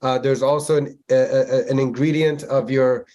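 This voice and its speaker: A man's voice: medium pitch, fairly even intonation, dark tone, dull audio. Thoughtful man in his forties. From Germany, of Persian family, moved to the US at ten. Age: 40s. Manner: thoughtful, measured, with frequent "like" fillers. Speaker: podcast guest, community organizer. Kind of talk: reflective interview answers. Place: Miami.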